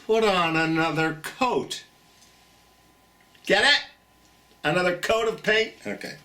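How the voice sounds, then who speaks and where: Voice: mid-range voice, slow pace, pushed and bright, far from the mic. A children's storytime host with a theatrical, singsong manner, speaking encouragingly and directly to young listeners.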